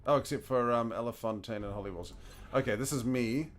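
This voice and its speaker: A man's voice: medium pitch, quick pace. A man with a New Zealand accent chatting casually and thinking aloud as he plays a video game, chuckling often. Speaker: gaming streamer. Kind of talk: casual commentary while playing a video game.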